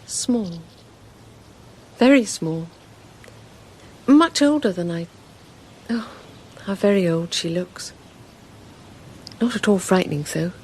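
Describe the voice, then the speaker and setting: An actress playing a haunted, fretful wife in a radio drama, in a hushed, halting, dreamy monologue. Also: female voice, mid-range voice, lively intonation, slightly rough. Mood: awed, dreamy. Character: haunted, fretful wife recounting an eerie vision.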